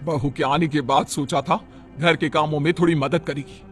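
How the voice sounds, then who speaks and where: Man voicing a stern, high-pitched older mother-in-law. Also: fairly even intonation, moderate pace, fairly bright tone, soft background music, close to an everyday voice. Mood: indignant. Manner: scolding, self-important. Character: stern, fitness-proud working mother-in-law, older woman. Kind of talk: cartoon moral story acting.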